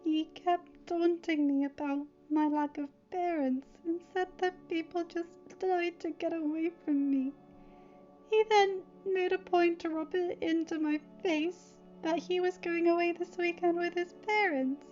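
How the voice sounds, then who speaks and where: Bullied teen boy, voiced by a female reader, confiding and fragile, raised pitch, music underneath. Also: fairly even intonation, measured pace, highs cut off. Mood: hurt, subdued. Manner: soft, hesitant, confiding. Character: hurt, vulnerable bullied teenage boy.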